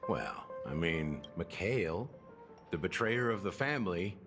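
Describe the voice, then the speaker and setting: An actor playing a suave, sly investigating officer in a video game, theatrical and knowing, fond of insinuating questions. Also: male voice, low voice, lively intonation, a little slow, over music. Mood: grave, dramatic. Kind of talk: video game voice acting, expository dialogue.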